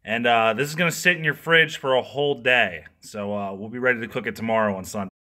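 A young man in his twenties filming a comedic cooking video in his home kitchen on a phone held at arm's length, cracking crude deadpan jokes and swearing freely. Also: mid-range voice, fast talker. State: hungover.

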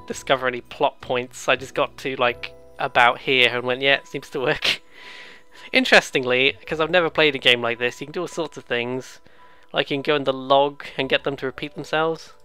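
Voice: mid-range voice, bright, forceful tone, clean tone. A let's play host giving casual, rambling, playful commentary on a video game. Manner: rambling, playful, mimics sounds and game lines.

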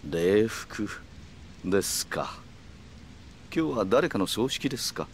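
Male cool, courteous gangster for a crime game: very low register, measured and formal, some ambient noise behind. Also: expressive. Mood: coolly polite. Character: calm, calculating yakuza man, coolly polite. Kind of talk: video game cutscene acting.

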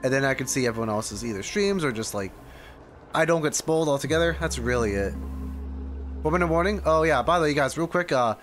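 A young man chats to his live stream while playing a game. His delivery is lively and rambling, jumping around in pitch, with faint game music behind him. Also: fast. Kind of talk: casual live gameplay reaction. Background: video game music.

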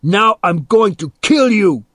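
A man voicing a defiant, mouthy young boy in a story, jeering and shouting to pick a fight. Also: fairly low pitch, drawn-out words, dry recording, studio quality. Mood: angry.

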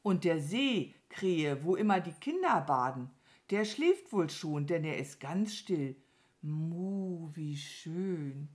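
Lady doing a sweet dreamy cow for a kids story, mild, unhurried, very lively pitch. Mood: dreamy, delighted. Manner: mild, mooing, sighing. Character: gentle, affectionate, dreamy female cow. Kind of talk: children's storybook character voice.

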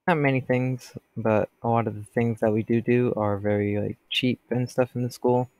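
Teenage boy, stuffy from a cold, rambling gameplay chat, medium pitch, dry sound. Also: steady tone, normal speed, a little mellow, no room sound. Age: teens. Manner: chatty, rambling, talks to customers. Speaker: gameplay commentator. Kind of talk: casual gameplay commentary.

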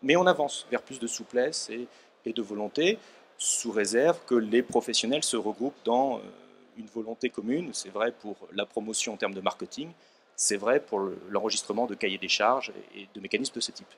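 Measured man at a trade fair panel. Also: on the low side, a little hiss or hum. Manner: measured, hesitant with many fillers. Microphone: handheld microphone.

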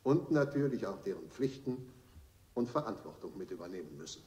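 An actor playing a solemn, troubled judge in a 1970 German radio play, grave, formal and declamatory, in an echoey room. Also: male voice, low-pitched, big pitch swings, brisk, a little mellow. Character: solemn, troubled male judge giving a verdict.

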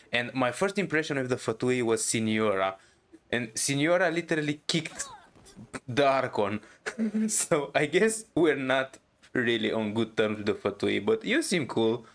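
A game streamer talks casually over gameplay. The delivery is informal, reacting out loud in a medium-pitched voice. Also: expressive, normal speed.

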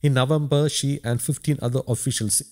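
A man's voice: mid-range voice, steady tone, fairly quick, a little mellow. A man narrating a scripted news report as a voice-over.

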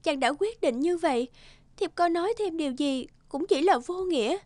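A high-voiced, gentle fairy wife. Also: female voice, expressive, normal speed, dry recording. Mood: resigned. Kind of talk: animated folktale voice acting.

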